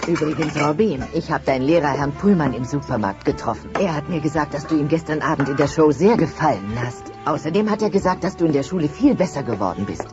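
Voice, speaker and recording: A woman's voice: deep voice, animated, quick pace, hardly any pauses. An actress voicing a caring, chatty middle-aged dinosaur mother who coos and fusses in a German cartoon audio play. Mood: chatty, proud. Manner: motherly, cooing. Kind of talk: German children's audio drama, cartoon adaptation. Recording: dull cassette audio drama, music behind.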